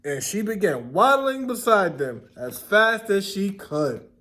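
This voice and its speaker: A man's voice: sits high, very animated, a little slow, bright, forceful tone, slight background noise. A man reading a children's story aloud expressively, explaining words and putting questions to young listeners. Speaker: classroom storybook reader.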